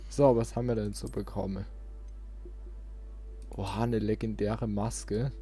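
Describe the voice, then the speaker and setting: A man's voice: lowish voice, steady tone, a little slow, mellow. A man giving casual let's play commentary as host of his channel. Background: game music.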